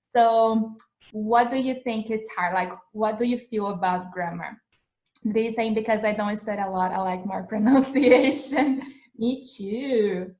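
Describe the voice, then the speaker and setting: A young woman with a mid-range voice teaches English over a laptop webcam in a chatty, animated way. Her speech carries a noticeable echo from the small room she sits in. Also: medium pitch, unhurried. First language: Portuguese. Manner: chatty, animated, gestures a lot while explaining. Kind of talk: live-streamed English lesson. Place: small indoor room, webcam in front of a plain wall. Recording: echoey room sound.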